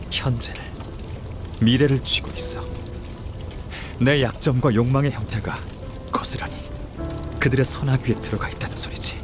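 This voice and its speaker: An actor playing a bitter, guilty betrayer in a game drama, speaking in a deliberate, taunting way. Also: male voice, medium pitch, lightly acted. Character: bitter, guilt-laden male betrayer making a confession.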